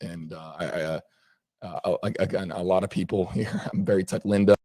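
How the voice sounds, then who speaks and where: A hoarse man anchoring informal live news coverage, halting and repeating words as he goes. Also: low voice, steady tone, warm tone, no room sound.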